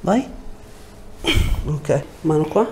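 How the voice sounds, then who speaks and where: Mellow-voiced man giving chiropractic instructions. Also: fairly high pitch, very slow, reverberant, slight background noise. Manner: short, calm instructions. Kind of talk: hands-on treatment talk with a patient. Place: indoor chiropractic treatment room.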